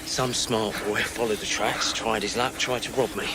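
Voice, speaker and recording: An actor in a radio drama playing a sly scavenger, falsely friendly and menacing, talking in clipped sardonic phrases. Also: male voice, on the low side, fairly even intonation, normal speed, very noisy, natural voice. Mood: quiet menace.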